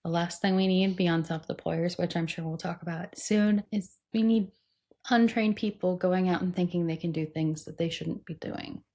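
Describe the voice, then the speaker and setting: A young woman co-hosting a casual podcast in a relaxed, plainspoken way, on a studio mic indoors. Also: lowish voice, moderate pace. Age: young adult. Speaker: podcast co-host. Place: indoor room with patterned wallpaper. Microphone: studio mic on stand, wearing headphones.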